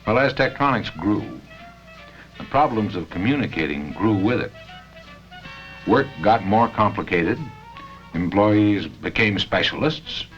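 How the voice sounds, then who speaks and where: An American man reading scripted narration for a 1970 company training film, with measured, deliberate phrasing and pauses. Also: on the low side, animated, fairly bright tone, soft background music. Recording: dull 1970 film soundtrack.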